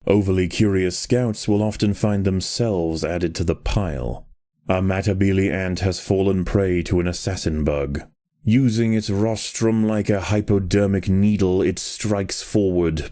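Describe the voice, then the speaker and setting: A man narrating a video game like a grave nature documentary, in a measured, solemn and precise manner. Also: low-pitched, warm tone.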